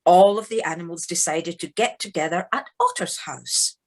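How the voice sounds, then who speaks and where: A woman acting as the narrator of a storybook animal tale in an audio play, with measured, expressive delivery. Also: on the low side, close to an everyday voice. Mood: lively storytelling. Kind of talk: scripted narration.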